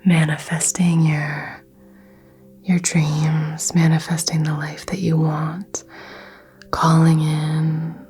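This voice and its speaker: Soft slow whisper lady from the south, low voice, asmr crystal healing. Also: slightly rough. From American South.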